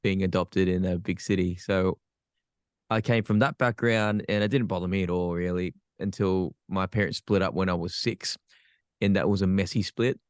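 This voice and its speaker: A man's voice: sits low, steady tone, normal speed, clear, resonant tone, no echo, clean studio sound. An Australian man, a podcast guest, telling his life story frankly with self-deprecating humor. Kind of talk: candid personal storytelling.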